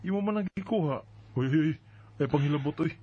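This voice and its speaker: A man's voice: fairly high pitch, lively intonation, quick pace, slightly rough, muffled recording. A man playing a casual, observant boyfriend with a Filipino accent in a radio drama, speaking in an offhand, conversational way.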